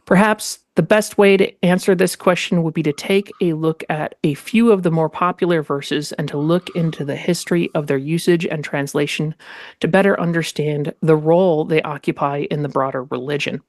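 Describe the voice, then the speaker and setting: A woman's voice: deep voice, steady tone, normal speed, a little mellow, no room sound, studio quality. A woman co-hosting a podcast, moving between scripted reading and personal discussion. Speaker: podcast host.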